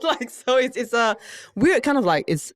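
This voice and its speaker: A woman's voice: fairly high pitch, moderate pace, no room sound, studio quality. A woman with a Singaporean English accent, a performer chatting as a podcast guest, laughing often and dropping in local particles. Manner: lively, laughs often, uses "lah" and "lor". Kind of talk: casual podcast chat. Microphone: studio microphone.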